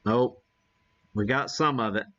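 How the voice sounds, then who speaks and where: An American man hosts a casual live demo with an unhurried, folksy delivery. He thinks aloud as he works, in an average-pitched voice. The sound is slightly muffled and lo-fi. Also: sharp tone. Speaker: host demonstrating amateur radio live. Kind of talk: casual live-stream chat while operating.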